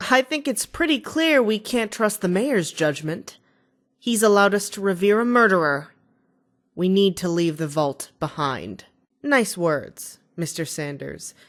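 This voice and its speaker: Ambitious female game politician, lowish pitch, dry studio sound, oratorical, self-assured, scheming. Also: no room sound. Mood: resolute. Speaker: video game voice actor. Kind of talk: video game dialogue.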